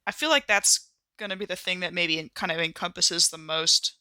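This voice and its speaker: Bright-voiced woman playing a tabletop game. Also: fairly low pitch, fairly even intonation, fairly quick, no echo. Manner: informal, thinking aloud. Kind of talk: casual chat during a tabletop game.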